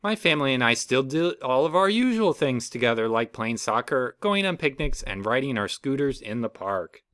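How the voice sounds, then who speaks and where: Male reader, animated storybook delivery, dry home recording. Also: moderate pace, no echo. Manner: lively storybook reading with playful pitch jumps. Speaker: reader of a children's book. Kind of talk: reading a picture book aloud.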